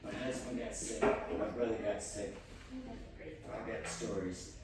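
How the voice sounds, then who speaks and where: A man's voice: lowish voice, steady tone, measured pace, heavy background noise. A man chatting casually about personal matters, frank and confiding. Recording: very echoey room, far mic, loud crowd. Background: loud crowd chatter.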